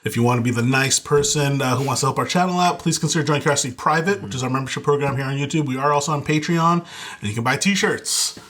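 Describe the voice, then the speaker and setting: A man's voice: mid-range voice, quick pace, a bit bright. A man co-hosting a spirits tasting chat, easygoing and agreeable, who also delivers the channel outro. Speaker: co-host of spirits review show.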